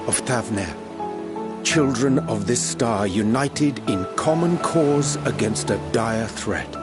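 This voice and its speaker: An actor playing a solemn scholarly man in a video game, speaking in a grave, formal, poetic manner. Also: mid-range voice. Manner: grave, measured, formal and archaic. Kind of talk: video game cutscene acting. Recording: music playing behind the voice.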